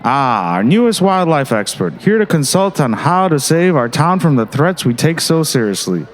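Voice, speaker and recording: A man's voice: fairly high pitch, sing-song, normal speed. A man playing a pompous, condescending small-town mayor in an audio drama. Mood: placating. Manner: smooth-talking, condescending. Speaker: voice actor.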